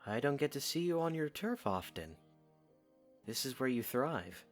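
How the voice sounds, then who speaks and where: A man's voice: mid-range voice, sing-song, normal speed, soft background music, lightly acted. A man playing an earnest, protective young warrior in an audio drama, plain-spoken and given to short sentences. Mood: gentle teasing.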